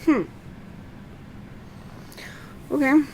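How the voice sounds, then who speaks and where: A woman livestreaming a game, halting and a little unwell, reading quest text and commenting casually on her play. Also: darkish tone, slight background noise, rough recording. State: feeling unwell.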